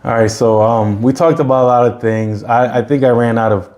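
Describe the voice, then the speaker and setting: A man's voice: medium pitch, steady tone, brisk, darkish tone. A man in his forties hosting a podcast, giving an earnest, repetitive pep talk in casual chat. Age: 40s. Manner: earnest, repeats himself, pep-talk style. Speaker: podcast host.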